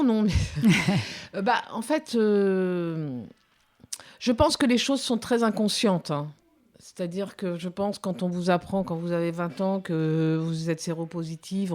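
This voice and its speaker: A woman's voice: lowish voice, a little mellow. A woman in her fifties, a documentary filmmaker, giving reflective interview answers with many hesitations and restarts. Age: 50s.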